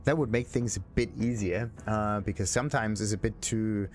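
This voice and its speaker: A man's voice: fairly low pitch, normal speed, a little mellow, slight background noise. A man giving casual, rambling video game commentary as a let's play host, thinking aloud to himself.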